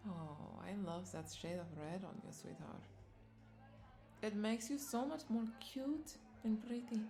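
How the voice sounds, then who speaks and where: Slow, coaxing vampire bartender played by a woman. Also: medium pitch, fairly even intonation, creaky, faint music behind. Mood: flirty, coaxing. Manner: coaxing, affectionate, lingering over words with soft chuckles. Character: flirty, doting female vampire bartender, soothing and possessive. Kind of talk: roleplay audio drama addressed to the listener.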